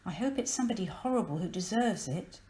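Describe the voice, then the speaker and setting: A woman's voice: mid-range voice, lively intonation, quick pace, mellow, roomy sound, natural voice, poor audio. A woman voicing an anxious, outspoken little girl in a children's storybook, earnest and emphatic. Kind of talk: children's storybook character reading.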